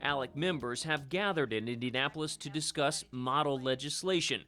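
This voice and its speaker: A man's voice: mid-range voice, moderate pace, sharp tone, soft background music. A man with an American accent reporting as an investigative television news reporter in a scripted style.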